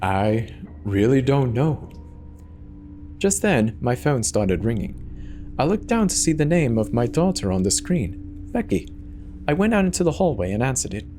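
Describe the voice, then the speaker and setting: A man's voice: mid-range voice, big pitch swings, normal speed, pauses often, dark tone, slight background noise. A man giving a dramatic scripted narration of a horror story.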